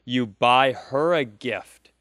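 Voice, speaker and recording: A man's voice: medium pitch, slow pace, a bit bright, no echo. A man co-hosting a comedy podcast, telling rambling stories in a dry, casual, joking way.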